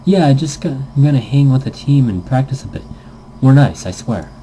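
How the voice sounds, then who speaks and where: A man's voice: mid-range voice, animated, brisk, dark tone, a little hiss or hum, pitched above the performer's own voice, lightly acted, low-quality recording. A man playing an eager, casual young gamer. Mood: eager, friendly. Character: eager young male foreign gamer, casual and nervous recruiter.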